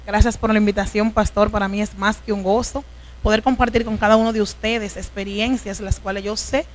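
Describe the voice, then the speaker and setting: A woman's voice: medium pitch, steady tone, fairly quick. A woman from the Dominican Republic with a Dominican accent, a preacher telling her life story in an interview, earnest and emotional. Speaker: guest, preacher. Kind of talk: testimony interview.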